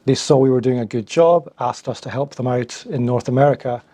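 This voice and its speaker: Relaxed Scottish man, mellow husky voice. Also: medium pitch, a little fast, no echo. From Scotland. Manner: relaxed, repeats words as he thinks. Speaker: guest, agency founder. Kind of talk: conversational interview answers.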